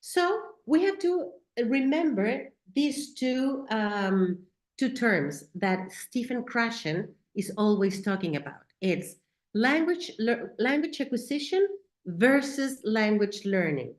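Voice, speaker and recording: A woman's voice: fairly high pitch, very animated, slow pace. A woman whose first language is Spanish, speaking English with a Spanish accent as she gives a talk on teaching, often checking in with "right?" and "okay?". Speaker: teacher trainer. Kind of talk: educational talk. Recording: echoey room.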